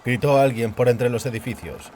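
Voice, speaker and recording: A man's voice: medium pitch, steady tone, moderate pace, a little mellow, some chatter behind. A man narrating fantasy fiction as a dramatic, scripted audiobook. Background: background music.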